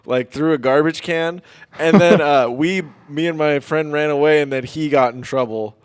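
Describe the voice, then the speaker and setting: Smooth-voiced young man hosting student radio. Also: on the high side, normal speed. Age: young adult. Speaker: student radio host and interviewer. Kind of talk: casual unscripted radio chat. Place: radio studio. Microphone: studio microphone.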